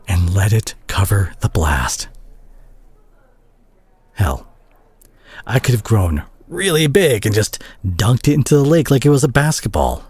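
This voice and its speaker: Husky, worried superhero played by a man. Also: mid-range voice, darkish tone. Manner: emotional, halting, emphatic pauses. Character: concerned, exasperated superhero scolding a reckless injured teammate. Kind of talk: scripted audio roleplay, dramatic monologue.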